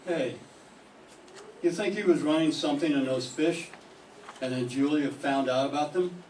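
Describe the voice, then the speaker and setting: An older man playing a sullen, hard-drinking young male fisherman in a 1940s-style radio drama, gruff and defensive. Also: on the high side, moderate pace, a little mellow, close to an everyday voice. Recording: roomy classroom from a distant mic, faint hiss.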